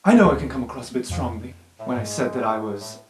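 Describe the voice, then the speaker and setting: A man's voice: medium pitch, lively intonation, quick pace, natural voice. Eager, theatrical male student, played by a man, grandiose and insistent would-be sidekick; echoey room, faint music bed. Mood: earnest, intense.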